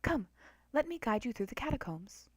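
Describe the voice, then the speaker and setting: A gentle, protective creature mother from a storybook, voiced by a woman reader. The voice is warm and soothing, with a soft delivery. It sits close and dry. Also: fairly high pitch, big pitch swings, normal speed, husky, no room sound. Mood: gentle, reassuring.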